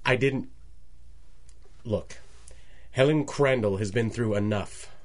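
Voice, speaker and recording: A man's voice: on the low side, takes it slowly. An actor playing an evasive, defensive businessman in a radio drama, guarded in manner.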